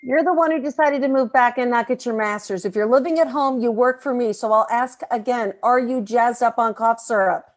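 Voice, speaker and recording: Woman acting higher-voiced stern, scolding mother. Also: brisk, hardly any pauses, close to an everyday voice. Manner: scolding, blunt. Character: stern, sharp-tongued mother and boss. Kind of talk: virtual table read of a sitcom script.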